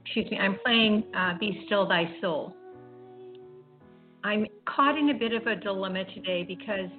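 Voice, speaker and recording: Older female spiritual radio host, unhurried halting delivery, narrow telephone-grade audio with music behind. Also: medium pitch. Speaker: host of an online spiritual talk radio program. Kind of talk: reflective, informal monologue. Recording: dull, phone-like internet radio audio.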